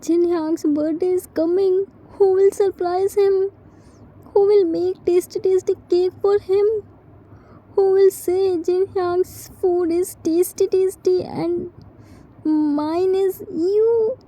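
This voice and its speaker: Woman voicing a very high, pleading, grieving young man. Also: steady tone, slow, mellow, low-quality recording. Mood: scolding, sorrowful. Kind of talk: dramatised fan-fiction dialogue.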